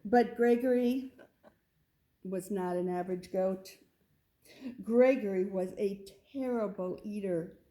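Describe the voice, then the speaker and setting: Slow-paced woman reading a children's story. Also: mid-range voice, dark tone. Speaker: picture-book reader. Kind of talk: read-aloud storytelling for children.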